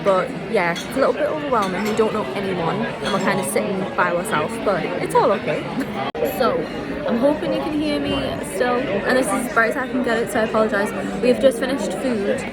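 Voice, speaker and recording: A woman's voice: fairly high pitch, fairly quick, over loud music, over a noisy crowd. A young woman from the UK chatting casually to camera in a rambling, informal way as she vlogs. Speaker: vlogger. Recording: very noisy, busy background, camera microphone.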